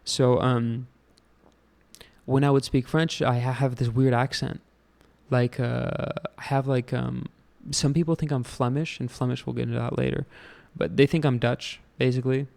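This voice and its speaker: A man's voice: medium pitch, flat delivery, normal speed, creaky, darkish tone. A young American man hosting his own show, rambling casually with lots of fillers, restarts and swearing. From United States. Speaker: show host. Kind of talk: casual solo rant.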